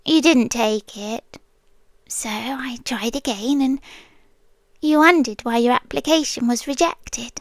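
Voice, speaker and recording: Yandere monster girl woman acting: British, high-pitched, unhurried, hushed and possessive, ASMR roleplay, very close dry mic. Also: dry recording. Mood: calm, controlling confession. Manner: slow, hushed, often dropping into whispers. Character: possessive, obsessively protective female monster girlfriend, stalker guardian. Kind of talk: ASMR roleplay, intimate soft-spoken monologue. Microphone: close ASMR microphone, dry sound.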